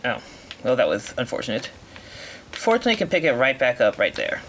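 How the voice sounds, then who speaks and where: A man of middle pitch talks through his speedrun as he plays, explaining as he goes and stopping to react when a turn goes wrong. He often corrects himself mid-sentence. A faint hiss and some clicking sit behind his voice. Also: big pitch swings, fast, fairly bright tone. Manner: explains while playing, reacts to mistakes, self-correcting. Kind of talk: live commentary while playing.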